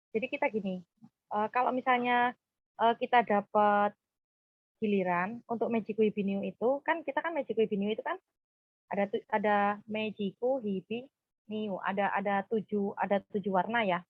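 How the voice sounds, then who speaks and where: A woman, leading an informal group session in a halting, hesitant way. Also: medium pitch, no room sound. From Purworejo, Central Java, Indonesia. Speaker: group counseling facilitator. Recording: dull, muffled lo-fi sound.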